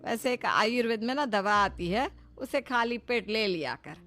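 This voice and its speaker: A woman's voice: on the high side, animated, moderate pace, a bit bright, soft background music. A woman voicing a kindly, old-fashioned older mother-in-law in a cartoon, giving motherly, folksy advice. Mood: motherly advising.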